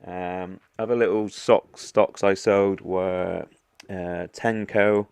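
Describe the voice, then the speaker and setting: A man's voice: low voice, steady tone, slow pace, gravelly, darkish tone, smooth, dry recording. A man with a stuffy, congested voice from a cold, hosting a casual portfolio walkthrough vlog and often hesitating with ums and restarts. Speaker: investing vlogger.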